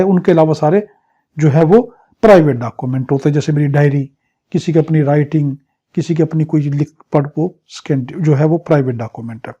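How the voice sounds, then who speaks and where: A man's voice: on the high side, dark tone. A man with a Pakistani accent teaching a law crash course, explaining point by point with everyday examples and questions he answers himself. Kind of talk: exam crash-course lecture, explaining article by article.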